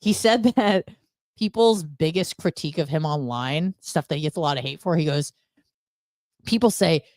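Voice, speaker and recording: A woman's voice: deep voice, normal speed, a little mellow, no echo, studio quality. An American woman chatting casually as a podcast co-host, acting out quotes as she tells things. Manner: conversational, peppered with "like" and acted-out quotes. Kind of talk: casual chat.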